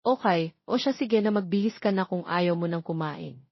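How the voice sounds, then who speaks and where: A woman's voice: lowish voice, normal speed, dry recording, dull audio. A woman with a Filipino accent voicing a caring, protective middle-aged mother in an audio drama. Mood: concerned.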